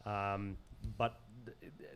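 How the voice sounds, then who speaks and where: A man from Zimbabwe with an Australian accent, talking earnestly and haltingly in casual podcast conversation, often repeating words as he searches for phrasing. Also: lowish voice, flat delivery, a little hiss or hum. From Zimbabwe, moved to Australia as a child. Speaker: podcast guest.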